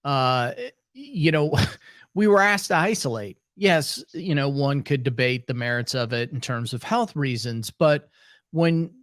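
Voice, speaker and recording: A man hosts a podcast in a casual way, stopping and restarting often as he searches for words. His pitch is mid-range. The recording is dry and close. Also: animated, unhurried, a bit bright, dry recording. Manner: hesitant, with restarts and fillers. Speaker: podcast host. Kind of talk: casual interview chat.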